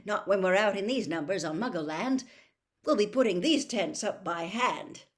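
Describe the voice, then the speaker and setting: A woman voicing a cheerful middle-aged wizard father, eager, genial and easily excited, in a storybook reading. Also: mid-range voice, moderate pace, lightly acted. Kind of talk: storybook character voice.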